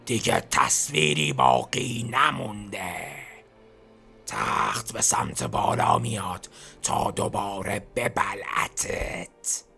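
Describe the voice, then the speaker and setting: A man voicing a gravelly taunting inner voice. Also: deep voice, big pitch swings, bright, forceful tone, faint music behind, full character voice. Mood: scornful. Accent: Persian. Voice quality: gravelly, breathy whisper. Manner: scornful, needling, mocking. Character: taunting voice in the detective's head, male, cruel and mocking. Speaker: voice actor in a fan dub. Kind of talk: whispered game dub acting.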